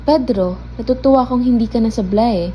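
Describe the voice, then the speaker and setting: A woman playing a sincere, gently teasing young village sweetheart in a radio drama. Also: fairly high pitch, brisk, a little hiss or hum, natural voice, low-quality recording. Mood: tender. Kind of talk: student radio drama acting.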